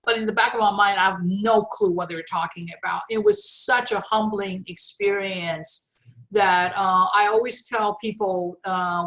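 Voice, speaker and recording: A woman's voice: mid-range voice, a bit bright, low-quality recording. Middle-aged woman guest, first language Chinese, reflective and hesitant, many ums and restarts. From China. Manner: thoughtful, many fillers and restarts. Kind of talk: interview, personal story.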